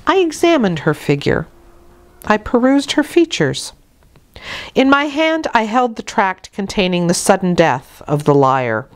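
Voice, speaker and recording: Expressive woman narrating a classic novel. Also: lowish voice. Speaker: audiobook reader. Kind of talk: dramatic literary narration.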